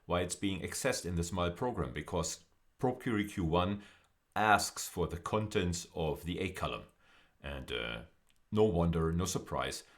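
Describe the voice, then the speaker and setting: Male university lecturer, low-pitched voice, deliberate explanatory delivery over slides, restating key phrases. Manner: deliberate, repeats and rephrases phrases for emphasis.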